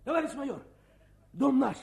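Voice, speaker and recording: An anxious young soldier in a radio war drama. His voice sits high, with a gravelly creak. He sounds devoted to his commander. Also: expressive, moderate pace, darkish tone, heavily acted. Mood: elated.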